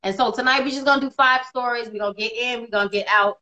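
Woman co-host, 30s, bright voice, a bit high, lively and laughing, home video call. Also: fast, highs cut off. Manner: animated, laughs often, bright delivery. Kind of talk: casual live-stream chat. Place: indoors at home. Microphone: phone or laptop camera on video call.

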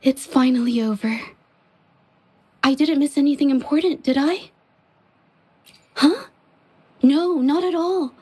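A woman voicing a shy, earnest young woman painter with quiet resolve in an anime video game. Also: high-pitched, slow pace, long pauses. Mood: flustered, relieved.

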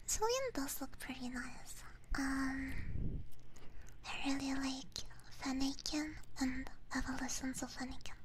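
Whispering woman, casual ASMR streamer. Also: sits high. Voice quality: breathy, mostly unvoiced whisper. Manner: soft, informal, playful. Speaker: ASMR streamer chatting with live chat. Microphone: binaural ASMR microphone. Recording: close binaural mic, audible background noise.

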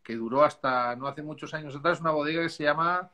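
A man in his fifties from Spain with a Spanish accent softened by years in Chile, a winemaker chatting casually and at length on a video call. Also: fairly high pitch, fast talker. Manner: chatty, rambling, laughs easily. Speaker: winemaker guest. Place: dark room at home. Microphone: video call, laptop camera.

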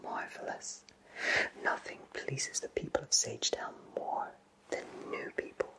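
A man whispering an ASMR roleplay close to the microphone as a courteous, cheerful potion shopkeeper, with genteel, theatrical phrasing and deliberate pauses. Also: a bit bright, low-quality recording. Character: cheerful, courteous, flowery-spoken potion shopkeeper in a fantasy town.

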